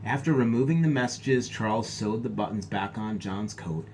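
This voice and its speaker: A man reading a story aloud as a teacher, pausing to explain things to his listeners. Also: on the low side, darkish tone, a little hiss or hum. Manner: explanatory, pauses to clarify for listeners. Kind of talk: read-aloud storytelling with asides.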